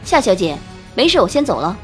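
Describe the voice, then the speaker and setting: Young female composer, played by a woman narrator: weary, composed, dismissive, quietly mocking audiobook heroine over faint music. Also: sits high, normal speed. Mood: cool. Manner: calm, dismissive, softly sarcastic.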